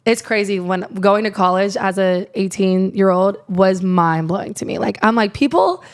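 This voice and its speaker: Young woman guest from Iowa, raspy, loose rambling delivery. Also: medium pitch, moderate pace. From Iowa, USA. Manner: rambling, lots of "like" fillers. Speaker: guest, country singer. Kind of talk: casual interview chat.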